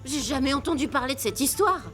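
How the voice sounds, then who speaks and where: A woman's voice: sits high, fast, music in the background, lightly acted. A woman voicing a spirited, sensible young forest girl in a cartoon, forthright and skeptical. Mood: incredulous. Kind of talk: French cartoon voice acting.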